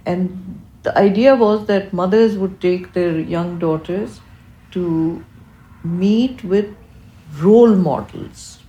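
A woman's voice: fairly low pitch, slow, mellow, roomy sound, muffled recording. An older woman, a native Urdu speaker, giving eloquent, reflective interview answers as a physician and researcher, phrased with deliberation.

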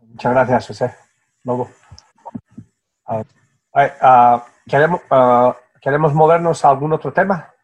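A man's voice: mid-range voice, steady tone, unhurried, pauses often, muffled recording. A man moderating an online discussion, speaking hesitantly with fillers.

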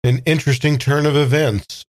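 A man's voice: on the high side, steady tone, moderate pace. A man who is a player in a tabletop role-playing game, improvising and talking casually about what his character does.

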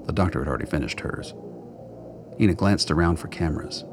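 A man narrating a scripted radio drama in a deliberate, noir storytelling manner. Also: extremely low pitch, over music.

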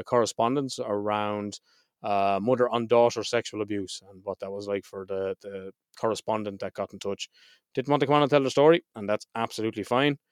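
A man's voice: on the low side, clean tone, no echo, clean studio sound. A man hosting a mental health podcast, speaking frankly and swearing freely, a little nasal and stuffed up from a head cold. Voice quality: slightly nasal. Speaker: podcast host. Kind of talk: casual solo podcast intro.

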